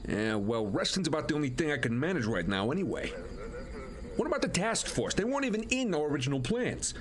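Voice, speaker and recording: A man playing a weakened but defiant young rebel warrior in an audio drama, earnest and expressive. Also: fairly high pitch, fast, a crowd in the background. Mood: questioning.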